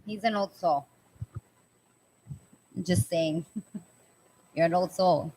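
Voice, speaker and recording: A young woman from Canada, co-hosting a casual talk show and teasing her co-host in an animated, argumentative way. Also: lowish voice, slow, husky, poor audio. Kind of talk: casual chat.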